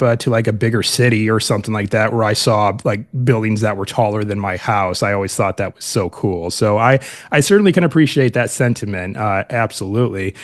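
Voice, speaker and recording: Man hosting with lots of fillers. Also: mid-range voice. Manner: many fillers, searching phrasing with "like" and "kinda". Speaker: podcast host. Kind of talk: casual interview.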